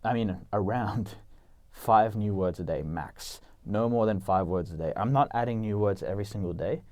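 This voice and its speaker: Earnest young man with a dark, lowish voice. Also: normal speed, no echo. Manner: earnest, enthusiastic, frequent "like" and "you know" fillers. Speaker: language-learning vlogger. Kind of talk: casual advice monologue.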